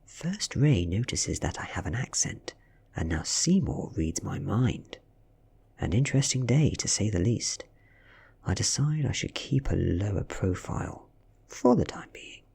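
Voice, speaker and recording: British guy doing asmr story reading, slow breathy half-whisper, bit of creak. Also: fairly low pitch, big pitch swings, a little mellow. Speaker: audiobook reader.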